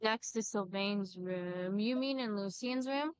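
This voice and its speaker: A woman's voice: medium pitch, measured pace, smooth. A woman streaming a video game, chatting casually and reading dialogue aloud over game music.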